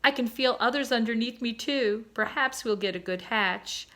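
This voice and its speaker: A woman voicing a practical female swan who answers in a sharp, matter-of-fact way. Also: on the high side, moderate pace, pitched above the performer's own voice, lightly acted. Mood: dry. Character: sensible blunt female swan mother.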